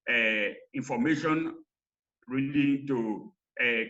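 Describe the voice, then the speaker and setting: A man presenting research in a lecture, with halting, emphatic phrasing. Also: medium pitch, bright, forceful tone. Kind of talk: academic lecture. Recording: slightly dull online call audio.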